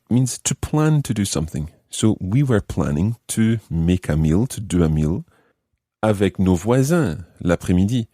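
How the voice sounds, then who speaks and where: Low-pitched, animated man teaching a language. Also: no room sound, studio quality. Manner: clear step-by-step explaining, repeating phrases. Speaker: language lesson host. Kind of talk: scripted explanatory teaching narration.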